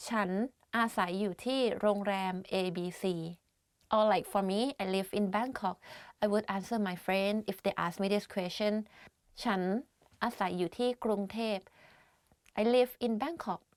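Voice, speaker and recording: A woman's voice: mid-range voice, no echo, studio quality. Bubbly Thai woman teaching phrases. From Thailand. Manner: chatty, laughs, fillers of "like". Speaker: language teacher. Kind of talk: casual language lesson.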